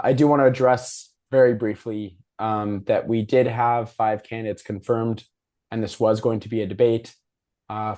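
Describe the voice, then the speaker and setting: A man with a hoarse, failing voice hosting a civic discussion over a video call, presenting prepared material informally. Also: on the low side, dark tone. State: hoarse, losing his voice. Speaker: moderator and host of a civic discussion.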